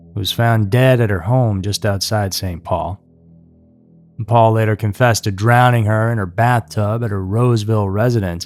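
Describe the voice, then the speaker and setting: A man's voice: on the low side, a little mellow. A man reading scripted true-crime narration as a narrator. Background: music.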